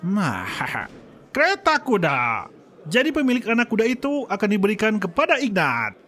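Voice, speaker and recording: A man's voice: high voice, huge pitch swings, moderate pace, bright, forceful tone, exaggerated voice. A man voicing a pompous court judge in a cartoon dub, formal and self-important. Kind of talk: animated cartoon dubbing.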